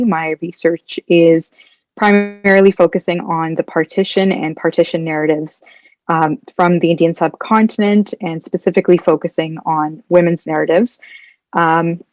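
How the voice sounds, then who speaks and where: A woman's voice: fairly low pitch, a little mellow, no echo. Thoughtful young woman, dull dry audio. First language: Punjabi. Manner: thoughtful, measured, hesitant. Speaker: guest, PhD candidate. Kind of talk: conference interview answers.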